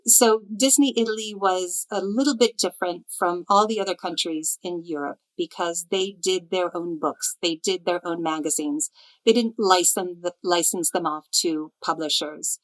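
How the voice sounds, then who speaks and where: A Midwestern woman in her fifties talks through her story in an easy, chatty way, dropping ums and small self-deprecating asides as she goes. Her voice sits a little low for a woman. It has the unhurried feel of a remote podcast guest. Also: poor audio. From United States, near Chicago. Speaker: literary translator, guest. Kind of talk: conversational interview storytelling.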